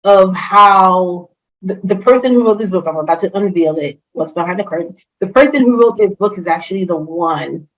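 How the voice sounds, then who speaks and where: A woman's voice: medium pitch, normal speed, highs cut off. Chatty woman, French first language. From Haitian family, grew up speaking French and Creole. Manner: chatty, enthusiastic, many fillers and restarts. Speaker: guest, HR consultant. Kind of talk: casual interview chat.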